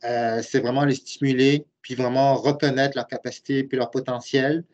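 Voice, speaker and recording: A man's voice: medium pitch, flat delivery, normal speed, darkish tone. A man in his late forties with a Québécois accent, a program director earnestly explaining his views while answering webinar questions. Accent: Québécois French. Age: late 40s. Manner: earnest, explanatory, thinks aloud. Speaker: university program director and professor. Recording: muffled lo-fi web call audio.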